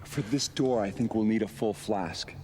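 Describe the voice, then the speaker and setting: An actor playing a grave, learned older man with a deliberate, formal, theatrical delivery in a game drama. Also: dark tone, lightly acted. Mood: matter-of-fact. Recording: clear background noise or rumble.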